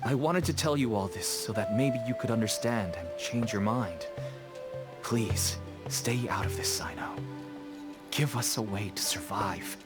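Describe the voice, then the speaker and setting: A man's voice: lowish voice, unhurried, lightly acted. An actor playing a weary father turned smuggler in a video game, earnest and resigned. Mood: pleading. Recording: soft game music behind.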